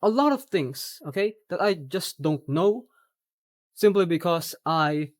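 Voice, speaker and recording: A young man from the Philippines with a Filipino accent, whose first language is Tagalog, talking earnestly in a personal vlog with measured, slightly formal phrasing. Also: sits high, animated. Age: young adult. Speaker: vlogger. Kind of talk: personal reflective monologue.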